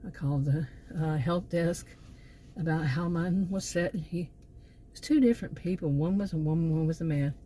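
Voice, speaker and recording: Texan woman rambling in a mellow voice. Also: low-pitched, normal speed, a little hiss or hum. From Texas. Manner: meandering, with frequent restarts and asides. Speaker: channel host. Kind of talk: rambling opinion monologue.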